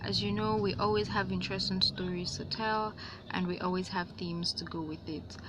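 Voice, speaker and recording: A woman reading a story aloud as host, with animated delivery and dramatic, drawn-out emphasis on words. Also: mid-range voice, noisy background. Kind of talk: expressive read-aloud storytelling.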